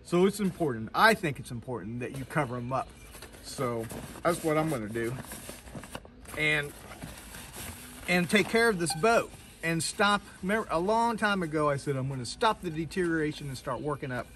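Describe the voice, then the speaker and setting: A man's voice: a little high, animated, moderate pace, sharp tone, a little hiss or hum. A man in his fifties vlogging casually outdoors on a sailboat at a marina, rambling and correcting himself as he talks. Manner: rambling, self-correcting, conversational. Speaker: boat owner vlogger. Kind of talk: casual vlog talk.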